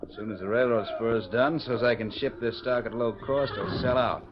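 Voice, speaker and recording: A muffled old radio western. A man plays a hot-headed cattle-buying villain in a mid-pitched voice. His talk is blunt, gruff and folksy. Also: normal speed, close to an everyday voice. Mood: smug. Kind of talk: 1940s radio western acting. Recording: dull lo-fi 1940s radio recording.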